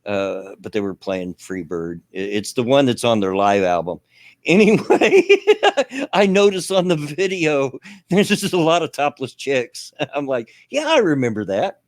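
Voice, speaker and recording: A man's voice: medium pitch, very animated, measured pace, no room sound, studio quality. An older man from the American South hosting a casual radio talk stream, rambling and laughing often. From Southern United States. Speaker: radio show host. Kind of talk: casual chat.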